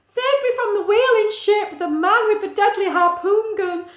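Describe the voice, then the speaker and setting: A sad storybook mother whale pleads in a very high, drawn-out singsong wail. The sound is roomy and echoing, and the voice is pushed far up and loud. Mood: mournful pleading.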